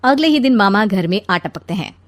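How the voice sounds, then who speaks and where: A woman's voice: mid-range voice, animated, a little fast, dry recording. A woman narrating a scripted story as a storyteller.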